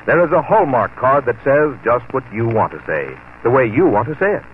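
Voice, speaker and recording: A man's voice: mid-range voice, very animated, brisk. A man announcing a 1940s radio commercial with a polished, emphatic delivery. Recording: tinny, noisy vintage radio recording.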